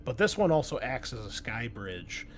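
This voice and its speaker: A man running a tabletop game as game master, improvising scene descriptions in a halting, conversational way. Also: medium pitch, big pitch swings, slight background noise.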